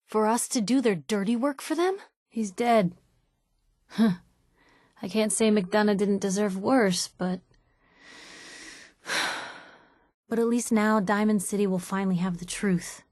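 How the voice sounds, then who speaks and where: A woman's voice: medium pitch, dry recording, natural voice. An actress playing a plucky, sardonic young woman reporter in a post-apocalyptic video game, with a wry, conversational delivery. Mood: grave, resolute. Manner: wry, dry-witted, conversational. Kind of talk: video game character voice lines.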